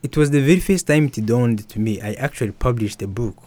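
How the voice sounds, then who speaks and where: A young man, an author and musician, telling his story softly and hesitantly in an interview. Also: medium pitch, fairly quick, dry recording. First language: Swahili. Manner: soft-spoken, hesitant with restarts and fillers. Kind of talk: reflective personal storytelling.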